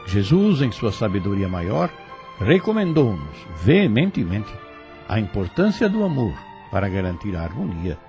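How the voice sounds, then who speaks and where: A man's voice: lowish voice, sing-song, normal speed, darkish tone, muffled recording. A Brazilian man giving formal, didactic spiritual commentary from a script. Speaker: commentator explaining the drama. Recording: faint music behind voice.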